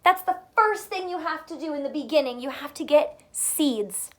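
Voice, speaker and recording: A woman teacher reading a picture book aloud to young children, pausing to explain simply and ask questions, drawing out words for emphasis. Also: high voice, lively intonation, fairly bright tone.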